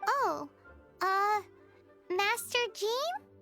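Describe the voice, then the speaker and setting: A woman's voice: very high-pitched, sing-song, extremely slow, sharp tone, heavily acted. A woman voicing a tiny childlike fairy girl companion in a video game, cheeky, blunt and easily indignant. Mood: curious. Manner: cheeky, blunt, speaks of herself in third person. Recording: music playing behind the voice. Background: game music.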